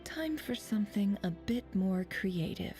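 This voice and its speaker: An actress playing a composed investigator of memories in a video game, musing in an articulate, analytical way. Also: female voice, medium pitch, lively intonation, unhurried, mellow, faint music behind, close to an everyday voice. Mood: musing, intrigued. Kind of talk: scripted video game narration.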